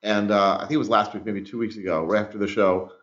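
Podcast co-host: man, lowish voice, thoughtful and self-mocking storyteller. Slightly dull sound. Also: fairly even intonation, fast talker, fairly bright tone. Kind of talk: casual podcast chat.

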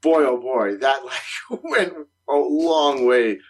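A man co-hosting a podcast in casual conversation, animated and quick to laugh, his voice climbing when something surprises him. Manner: animated, rising pitch on surprising points, laughs while talking. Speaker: podcast co-host, consultant.

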